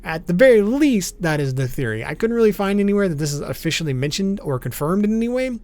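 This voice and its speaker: A man's voice: high voice, very animated, quick pace, a little mellow, dry recording. A man narrating an explainer video as its host, in an informal, matter-of-fact way, with music behind him. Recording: background music under voice.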